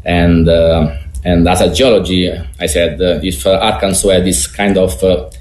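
Deep-voiced man with a thick Italian accent. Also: fairly even intonation, darkish tone. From Italy. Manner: halting, hesitant delivery with throat clearing. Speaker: geologist and professor, interview guest. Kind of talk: explanatory interview answers. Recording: echoey room with faint noise.

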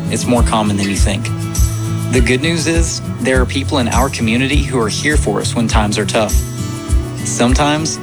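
A man narrating a public service announcement in a calm, reassuring way. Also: on the low side. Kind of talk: scripted PSA narration.

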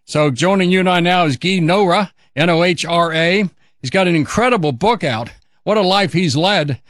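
Older guy hosting political talk radio, emphatic, slightly high voice, really dry close studio sound. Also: normal speed, fairly bright tone, dry recording. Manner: emphatic, repeats phrases for effect. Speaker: radio show host.

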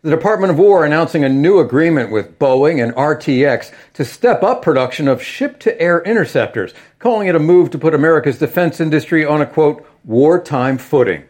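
A man filing a news report as a correspondent, with a measured, formal delivery. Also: a little high, expressive, darkish tone.

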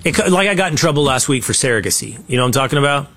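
Host type, Texan man with a light accent, opinionated, mid pitch. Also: animated, very fast, a bit bright. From Texas, USA. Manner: conversational, opinionated, with restarts. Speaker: show host. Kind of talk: casual panel chat.

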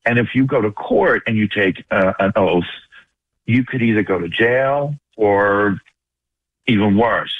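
A man's voice: on the low side, no echo. An older man with a New York accent, calling in to a radio show to make his point. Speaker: radio phone-in caller, retired firefighter. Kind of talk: making a point on a call-in show. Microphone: telephone. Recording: tinny narrow phone line, dry.

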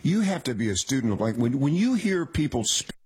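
A man's voice: mid-range voice, big pitch swings, fast, darkish tone, slightly rough. An American man hosting a radio interview in a casual, chatty, admiring and rambling way.

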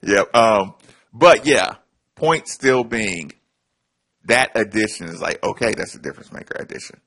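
Gravelly, husky male co-host, animated sports storyteller. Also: lowish voice, moderate pace. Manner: animated storyteller, drops to hushed asides. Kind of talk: casual sports talk.

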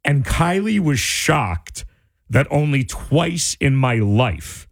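A male radio host in casual on-air chat, animated and incredulous, his voice starting to go. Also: mid-range voice, no room sound, studio quality. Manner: animated, incredulous, quick asides. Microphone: studio microphone.

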